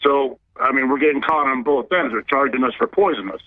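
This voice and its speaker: A man's voice: medium pitch, fairly quick, no echo. A man calling in to a radio show by telephone, talking earnestly and somewhat ramblingly. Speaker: caller. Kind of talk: call-in radio. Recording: dull, dry phone-line sound.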